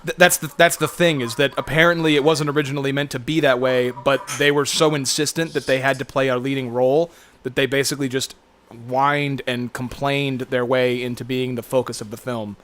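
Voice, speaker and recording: A young video creator chatting on a game livestream, rambling and hesitant with many restarts, joking along. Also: male voice, medium pitch, flat delivery, fairly quick, a bit bright. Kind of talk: casual chat over a game stream.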